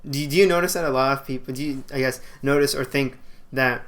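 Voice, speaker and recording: A man's voice: medium pitch, steady tone, fast, fairly bright tone. A man hosting an interview, asking casual questions that he rephrases as he goes, with plenty of fillers.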